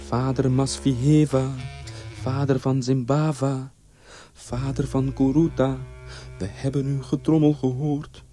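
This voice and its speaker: A man's voice: mid-range voice, level tone, slow, mellow, soft background music, lightly acted. A man voicing a sly, pious village hunter and family father in a story, solemn and self-important. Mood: solemn chanting. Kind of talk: storybook character voice.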